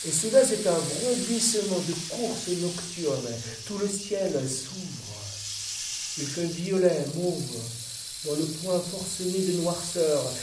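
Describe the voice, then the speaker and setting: A man reciting a poetic dramatic monologue in a measured, deliberate way, with pauses between phrases. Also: on the high side. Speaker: monologue performer. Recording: roomy, distant, noisy with water-like hiss.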